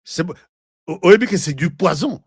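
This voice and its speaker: A man's voice: high-pitched, lively intonation, moderate pace, husky, no room sound, clean studio sound. A man with a Congolese accent giving heated political commentary, hammering points with repeated words and rhetorical questions. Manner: emphatic, repeats himself, asks rhetorical questions; switches between French and Lingala. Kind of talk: political commentary with played clips.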